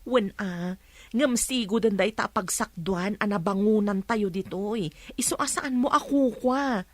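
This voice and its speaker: A woman's voice: mid-range voice, unhurried, no echo, close to an everyday voice. A woman playing a patient, apologetic wife who pleads with and chides her husband in a radio drama. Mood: reproachful. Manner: pleading, reproving.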